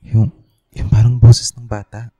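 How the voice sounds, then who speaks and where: A man's voice: medium pitch, little pitch movement, unhurried, dark tone, no room sound, lightly acted. A young man playing an uneasy student from the provinces in a Filipino radio drama, hesitant and earnest. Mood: frightened, earnest. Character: uneasy young male provincial student who senses ghosts.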